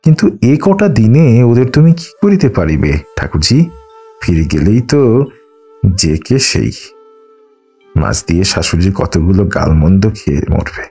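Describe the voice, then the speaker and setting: A man's voice: lowish voice, big pitch swings, a little slow, dark tone, husky, faint music behind, heavily acted. A man voicing a resigned, anxious young housewife and mother who talks in plain colloquial reasoning, in an audiobook. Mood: gently reproachful.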